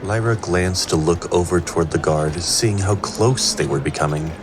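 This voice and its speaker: A man's voice: sits low, moderate pace, background noise, lightly acted. A man performing scripted narration of a fantasy tale as an all-knowing storyteller. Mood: suspenseful. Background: prominent music.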